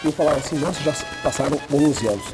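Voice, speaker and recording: A man's voice: a little high, fast, rough recording. A man hosting a casual cooking show in a showroom kitchen, talking in a chatty way with restarts. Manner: chatty, many restarts and fillers. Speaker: host. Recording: music under the voice. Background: background music.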